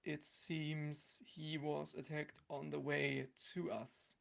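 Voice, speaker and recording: A woman's voice: low voice, little pitch movement, slow pace, gravelly. A woman in a casual improvised comic role-play, speaking in a mild, hesitant way.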